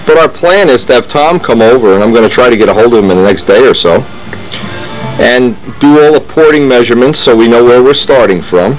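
A man at a middle pitch narrating his home workshop in a loose, rambling way, often stopping to restart a sentence. His voice comes through an old camcorder microphone on tape, and faint music plays underneath. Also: lively intonation, fairly quick, poor audio. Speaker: model airplane builder and channel host.

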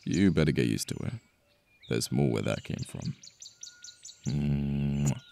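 A man acting a possessive yet protective mafia boss in an ASMR romance roleplay, with a British accent, a deep gravelly voice and an intimate manner full of sighs and pauses. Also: dark tone, slight background noise, heavily acted. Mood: teasing, welcoming. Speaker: voice actor. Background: birdsong, outdoor ambience effects.

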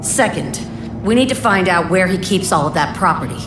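An actress playing a tough, shrewd resistance leader in a video game, with a firm, businesslike manner. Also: female voice, fairly low pitch, animated, fairly quick, lightly acted. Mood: grim, cautious. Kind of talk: video game dialogue acting. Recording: echoey room, music behind.

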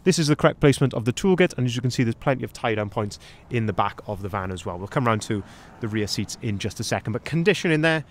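A man's voice: medium pitch, animated, quick pace, a little hiss or hum. A young man, a sales manager, giving a detailed walkaround of a van for sale outdoors on a cold lot, recorded on a handheld camera. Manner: upbeat, detailed, pointing things out as he walks. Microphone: handheld camera, first-person view.

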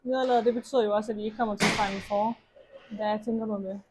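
A woman interviewing a player after a match for a club's TV channel, asking measured, deliberate questions. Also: medium pitch, steady tone, very noisy. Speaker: club TV interviewer.

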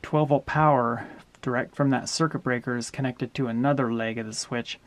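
A middle-aged man walking through a camper wiring upgrade step by step, in a steady, plain-spoken way, recorded in a small indoor space. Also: medium pitch, normal speed. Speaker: DIY camper owner explaining a wiring upgrade. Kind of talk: hands-on how-to walkthrough.

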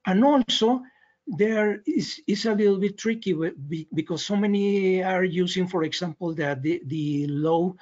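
A man giving an unscripted webinar explanation in halting, non-native English, often repeating words as he searches for phrasing. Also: high-pitched, a little mellow, muffled recording. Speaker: loudspeaker brand representative presenting.

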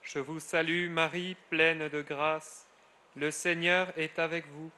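A middle-aged friar leading solemn prayer in a measured cadence, his phrases falling away at the end. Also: on the high side, level tone, sharp tone, a little hiss or hum. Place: outdoors at a grotto shrine.

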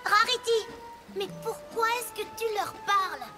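Excitable young cartoon pony girl, high voice, emphatic, expressive, music underneath. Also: measured pace. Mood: exasperated. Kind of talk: French cartoon dubbing. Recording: music behind the voice.

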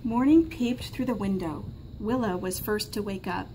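A woman's voice: medium pitch, moderate pace. Woman reading aloud, faint room noise. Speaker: teacher reading to children. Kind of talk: picture-book read-aloud. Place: small classroom, indoors. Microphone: camera a short distance away. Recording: faint classroom background noise.

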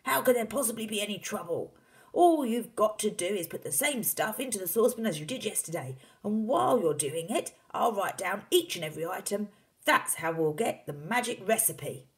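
Lady doing an excitable storybook dad, pushy and shouty, voice jumping all over the place. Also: a little high, moderate pace, pitched above the performer's own voice. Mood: impatient, insistent. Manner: shouting, urgent, exclamatory. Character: excitable, bossy, overeager father hatching grand schemes.

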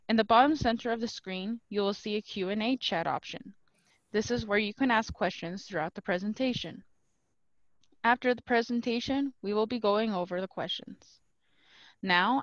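A woman's voice: mid-range voice, no echo. A young woman hosting a webinar, reading a scripted introduction over a headset on a video call. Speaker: host, university career center staff. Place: small room at home, on a video call. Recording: dull, dry video-call audio.

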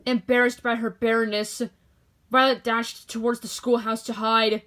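A woman narrating a children's picture book aloud in an expressive storytelling manner. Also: fairly high pitch, moderate pace.

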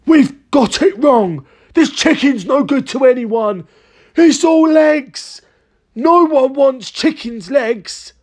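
Reader putting on an excitable, high-pitched blustering older man. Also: normal speed, few pauses, breathy edge, exaggerated voice. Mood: dismayed. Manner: exclamatory, urgent, theatrical.

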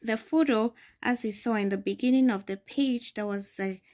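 A woman's voice: a little high, moderate pace, a little mellow. A young adult woman from Ghana, hosting a screen-recorded phone tutorial and explaining each step patiently. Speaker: tutorial host.

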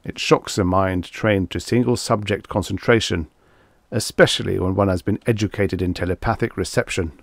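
A man reading an audiobook, voicing an evasive alien telepath in a measured, placating way. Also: deep voice, steady tone, creaky, no echo, studio quality. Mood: temporizing. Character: evasive male alien telepath, hesitant and temporizing. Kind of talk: audiobook character dialogue.

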